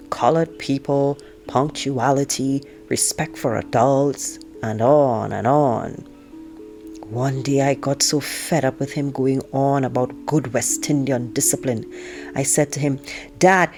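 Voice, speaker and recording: A woman reading a novel aloud like an audiobook narrator, expressively telling it as a teenage girl, with drawn-out emphasis. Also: very deep voice, a little mellow. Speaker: teacher reading aloud to students.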